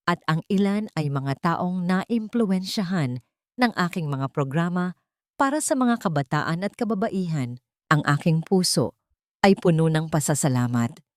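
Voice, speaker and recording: A woman reading a scripted first-person love story as narrator, in a measured, sentimental storytelling manner. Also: low-pitched, darkish tone, no room sound, clean studio sound.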